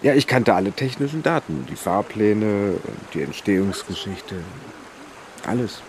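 A man's voice: on the low side, sing-song, measured pace, background noise. An actor playing an awkward, dreamy man with boyish enthusiasm and a hesitant, earnest delivery in a radio drama. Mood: shy, dreamy.

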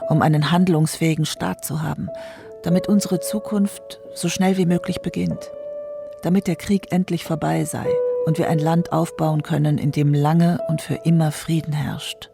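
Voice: mid-range voice, fairly even intonation, moderate pace, vocal fry, over music, natural voice. An actor playing an earnest, hopeful post-war German idealist in a scripted radio drama monologue.

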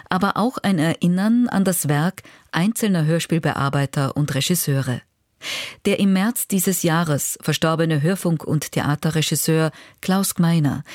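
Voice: mid-range voice, darkish tone, no room sound. Formal radio presenter, very dry studio sound. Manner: measured, formal announcing. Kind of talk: scripted narration.

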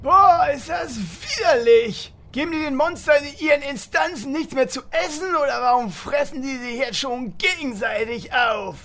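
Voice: high-pitched, moderate pace, hardly any pauses, a bit bright. An actor voicing a bossy, exasperated male party leader, indignant and theatrical, in a fantasy game parody audio drama. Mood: disgusted.

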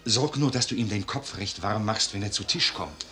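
An actor playing an anxious, brusque, plotting count in a 1950s radio drama. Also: male voice, on the low side, moderate pace, bright, forceful tone, faint music behind. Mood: impatient. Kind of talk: 1950s German radio play acting.

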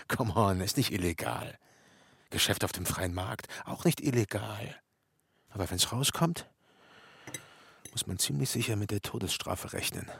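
An actor playing a weary, bitter party member in a radio drama, muttering halting, sardonic confessions to himself with a gravelly voice. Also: male voice, lowish voice, a bit bright, no echo, full character voice. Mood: sardonic, uneasy. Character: weary, bitter male party member confiding forbidden thoughts. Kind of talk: intimate German radio drama monologue.

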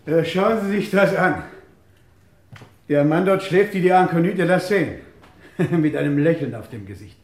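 An actor playing a self-assured, jovial and ironic police inspector in a crime radio play. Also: male voice, on the high side, expressive, normal speed. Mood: amused.

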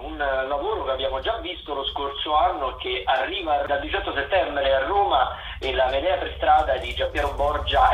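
A man giving an informal live listings rundown as a theatre critic, often pausing to find his words. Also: medium pitch. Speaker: theatre critic, regular radio contributor. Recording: dull, echoey distant line, faint noise.

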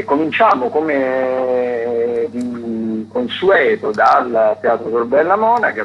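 A man's voice: medium pitch, husky. A man working as a radio critic, giving an unscripted events round-up with hesitant, digressive phrasing and self-corrections. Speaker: theatre critic, radio contributor. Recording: dull radio link with faint hum.

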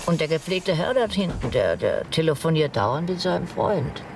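Chatty old widow, lively swooping voice. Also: female voice, normal speed, gravelly, exaggerated voice. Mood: chatty, teasing. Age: older. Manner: talkative, wry, familiar. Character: chatty, self-mocking old widow, German-born American grandmother. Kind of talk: radio drama acting. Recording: noisy, distant, vehicle rumble behind.

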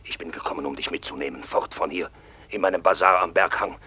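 A forceful, raspy, low-voiced draper, lovesick and ardent. He woos with lavish, effusive devotion in a radio play. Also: fast talker, heavily acted. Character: lovesick middle-aged male draper, effusive. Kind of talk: radio drama acting.

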